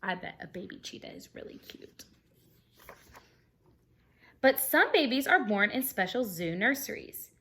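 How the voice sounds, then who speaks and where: A woman's voice: fairly high pitch, big pitch swings, unhurried, long pauses, bright, forceful tone. A woman teacher reading a children's picture book aloud expressively, explaining things simply and putting questions to her young listeners.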